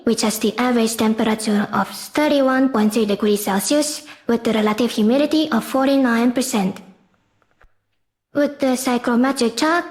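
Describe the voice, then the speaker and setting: A woman with a fairly high voice narrates a technical lesson from a script. She is formal and methodical, setting out each step in order like a student presenting a project. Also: a little mellow. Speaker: presenter of an engineering class project.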